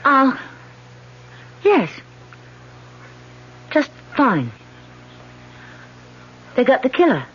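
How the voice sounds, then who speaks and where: An actress playing an anxious, strong-willed politician with a guilty secret, dramatic and halting in an old-time radio mystery. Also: female voice, high voice, wildly swooping pitch, heavily acted. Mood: uneasy. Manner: dramatic, halting under strain. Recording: dull, noisy old radio broadcast.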